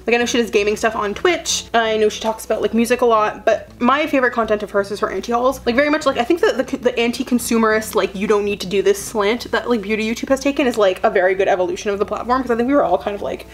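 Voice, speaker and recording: A woman's voice: on the high side, fast, few pauses. A woman in her twenties, a beauty vlogger, chatting in a rambling way while doing her makeup, her sentences full of filler words. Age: 20s.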